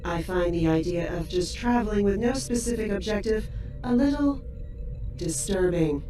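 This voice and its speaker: A woman voicing a courteous, fussy female computer companion in an audio drama. The voice is mellow and formal, heavily echoed and distant. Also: medium pitch, animated, moderate pace, lightly acted. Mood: polite, concerned. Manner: formal, polite. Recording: very echoey, distant, faint music.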